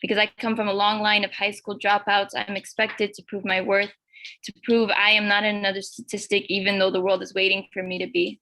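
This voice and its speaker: A teenage girl from Los Angeles reading her own spoken word poem in an earnest, measured way. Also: medium pitch, pushed and bright, no echo, low-quality recording. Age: teens. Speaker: youth poet.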